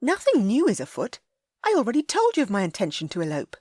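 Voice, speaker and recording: A woman voicing a proud young high-born lady with sharp, huffy retorts. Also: huge pitch swings, quick pace, dry recording, studio quality. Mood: indignant.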